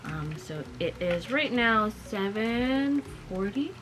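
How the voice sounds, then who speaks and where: A woman's voice: medium pitch, lively intonation, takes it slowly, music in the background. A young woman vlogging casually while she gets over a cold, chatty and full of fillers and small laughs. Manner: quiet and sleepy, just woken up. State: recovering from a cold. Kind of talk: casual vlog talk.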